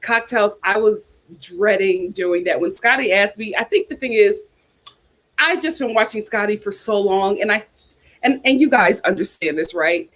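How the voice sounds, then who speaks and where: A woman's voice: mid-range voice, normal speed, fairly bright tone. A young woman hosting a casual livestream, chatting and reading viewer comments, repeating herself as she talks. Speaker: YouTube host.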